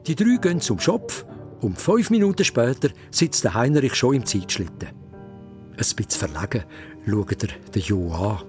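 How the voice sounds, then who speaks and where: A man's voice: mid-range voice, big pitch swings, moderate pace, a little mellow, natural voice. A man with a Swiss German accent narrating a children's audio drama in a dramatic storytelling manner. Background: music.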